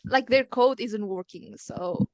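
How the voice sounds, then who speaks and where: A woman's voice: on the high side, a little slow, darkish tone, dry recording. A young woman, a student debater and programmer, chatting casually in an upbeat and reassuring way. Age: young adult. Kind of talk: casual chat.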